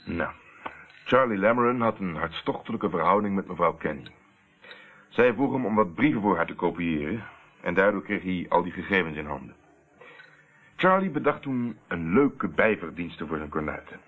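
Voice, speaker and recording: An actor playing a self-assured private investigator explaining a case in a Dutch radio play, on an old narrow-band recording. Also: male voice, low voice, lively intonation, moderate pace. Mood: explanatory, assured.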